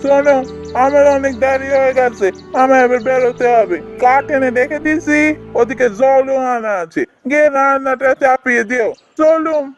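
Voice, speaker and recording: A woman's voice: high voice, fairly even intonation, normal speed, muffled recording, close to an everyday voice. A woman playing a kind, earnest, hard-working village husband in a fairy-tale cartoon. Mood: hurried, firm. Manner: earnest, caring. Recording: music behind the voice.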